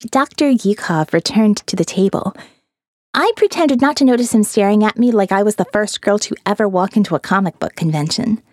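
A woman's voice: mid-range voice, expressive, brisk, darkish tone, dry recording, clean studio sound. A woman reading a scripted first-person audio novel as its narrator.

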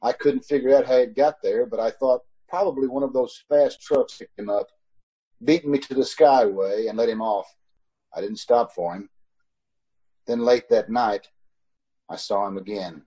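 A man's voice: mid-range voice, warm tone, slightly rough, dull audio, natural voice. A man playing a haunted, uneasy motorist who tells his story in an old-time radio drama. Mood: uneasy, reflective. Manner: measured narrating, nervous stammering in dialogue. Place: home, recorded over a video call.

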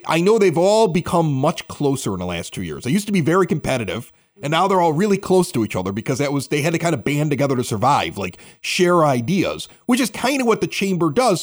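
A man's voice: on the high side, sing-song, quick pace, few pauses. A man hosting a podcast, asking long, talkative questions in an informal, conversational interview style. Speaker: podcast host.

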